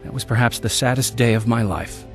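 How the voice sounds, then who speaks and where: A man's voice: medium pitch, brisk, gravelly, over music, close to an everyday voice. An actor playing a restless, searching adventurer who tells his own life story earnestly in a radio drama. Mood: reflective, earnest. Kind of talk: radio drama acting and first-person narration.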